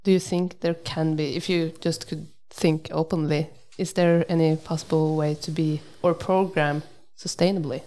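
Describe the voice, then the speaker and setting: A woman's voice: low-pitched, level tone, moderate pace, few pauses, warm tone. A young woman from Norway, co-hosting a podcast and asking brief, slightly hesitant questions. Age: young adult. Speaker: podcast co-host. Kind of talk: casual interview questions.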